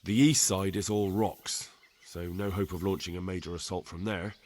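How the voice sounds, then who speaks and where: A man acts a young scout in a medieval game drama. His voice sits fairly low, and he reports plainly and respectfully, in measured phrases. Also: steady tone, a little mellow, natural voice. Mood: matter-of-fact. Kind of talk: dramatic video game cutscene acting.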